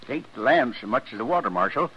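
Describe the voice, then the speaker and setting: Husky, stubborn homesteader. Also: male voice, mid-range voice, lively intonation, quick pace. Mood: defiant. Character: stubborn, wronged frontier homesteader, gruff and defiant. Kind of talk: old-time radio western acting. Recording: dull old radio recording.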